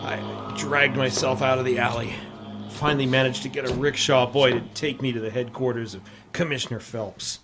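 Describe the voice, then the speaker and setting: An actor playing a tough, dogged reporter in an old radio drama, terse and insistent in narration and dialogue. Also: male voice, medium pitch, fairly even intonation, normal speed, over loud music, lightly acted. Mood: hard-boiled narrating. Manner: terse, hard-boiled, insistent. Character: tough, dogged male newspaper reporter O'Hara.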